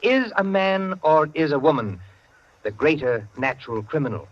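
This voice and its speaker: A pompous clubman storyteller lectures his listeners with condescending relish in a 1940s radio play. His pitch moves with lively rises and falls. The old broadcast sounds dull and muffled. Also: normal speed.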